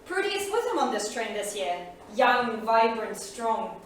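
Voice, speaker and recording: An actress playing an earnest narrator who guides a historical drama in a theatrical staged reading. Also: female voice, on the high side, big pitch swings, measured pace. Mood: introducing, earnest. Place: small studio theatre, live staged reading. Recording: very echoey theatre, distant mic, faint noise.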